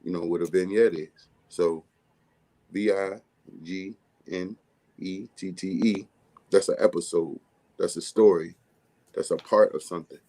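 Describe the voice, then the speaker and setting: A man from with a deep, bass-heavy, mumbly voice, talking casually as a music artist guest in an interview. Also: fairly even intonation, measured pace, pauses often, mellow. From Baltimore, USA. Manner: mumbly, laid-back streetwise delivery.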